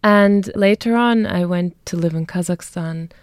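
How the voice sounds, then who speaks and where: Low, gravelly-voiced young woman poet. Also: no room sound. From Germany. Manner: thoughtful, measured, laughs lightly while talking. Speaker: poet and guest. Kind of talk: poetry reading and interview answers.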